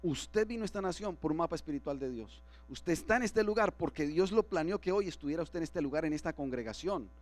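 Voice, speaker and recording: A man's voice: a little high, animated, fast, few pauses, darkish tone, no room sound, soft background music. A man preaching as pastor to his congregation, often asking them for agreement. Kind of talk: sermon. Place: church.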